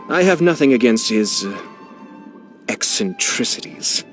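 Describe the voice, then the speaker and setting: Suave, sly young nobleman. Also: fairly low pitch. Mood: wry teasing. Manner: polished, courteous, dryly amused. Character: young nobleman clan head, composed, sly teaser. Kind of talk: video game character voicelines.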